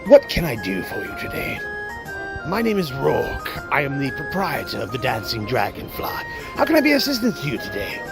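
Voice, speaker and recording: A man's voice: medium pitch, huge pitch swings, fairly quick, over music. Man playing a flamboyant, grandiose goblin innkeeper. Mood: welcoming. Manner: florid, theatrical, formal courtesy. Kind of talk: fantasy radio drama acting.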